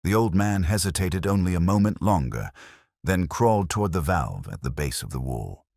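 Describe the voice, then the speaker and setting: A man's voice: sits low, expressive, moderate pace, vocal fry, darkish tone, no room sound, clean studio sound. A man giving a scripted mystery narration in a grave, dramatic, suspenseful manner. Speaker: story narrator.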